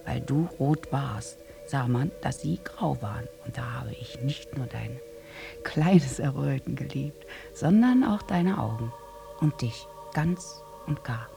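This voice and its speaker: An actress playing a war-scarred, lovestruck young prostitute in a German radio drama monologue, speaking intimately and haltingly between breathy hush and intensity. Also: female voice, rumbling low, animated, over music, full character voice. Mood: tender, hushed.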